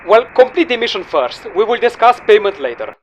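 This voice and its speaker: A stern male mission handler, acted by a man with a high voice for a man. He briefs formally and curtly in a game audio log, businesslike and unyielding, over faint background noise. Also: big pitch swings, a little fast, poor audio.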